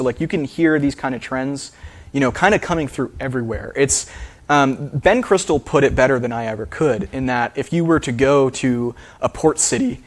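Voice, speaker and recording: A man who is a linguist, answering audience questions after a talk in a theater, casual with many fillers. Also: medium pitch. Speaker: speaker, linguist. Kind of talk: lecture Q&A answers.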